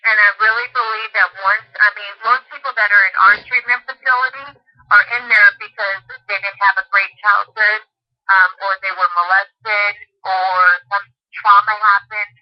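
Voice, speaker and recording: A woman's voice: mid-range voice, fairly even intonation, normal speed. A woman working intake on a treatment hotline, explaining things over the phone in an earnest, persuasive way. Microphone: telephone. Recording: muffled lo-fi telephone line.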